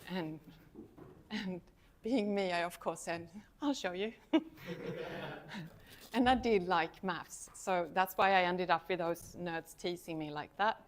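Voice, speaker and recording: A woman's voice: fairly low pitch, slow pace, a little hiss or hum. A middle-aged woman with a Swedish accent, a university lecturer giving a casual, self-deprecating talk to a student society and laughing often. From Sweden. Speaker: university lecturer, guest speaker.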